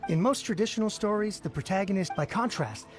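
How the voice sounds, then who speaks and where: A man's voice: high voice, expressive, fairly quick. A man playing a candid young light novel reader in a video game, casual, frank and explanatory. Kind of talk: scripted video game dialogue. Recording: music playing behind the voice.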